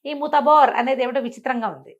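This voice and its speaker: Female storyteller, somewhat high voice, informal and chatty narration with explanatory asides. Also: fairly even intonation, fast talker, muffled recording. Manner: conversational, explains with asides and rhetorical questions. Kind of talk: informal spoken bedtime storytelling for a listener.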